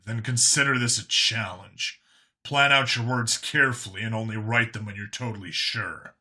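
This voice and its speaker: A man voicing a gruff, sardonic human cop in a sci-fi audio story, with dry, curt delivery. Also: lowish voice, moderate pace, pushed and bright. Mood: patient, firm.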